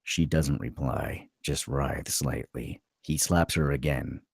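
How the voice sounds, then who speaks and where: A man narrating a screenplay at a table read, with a gravelly, husky voice. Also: a bass voice, takes it slowly, no echo, studio quality.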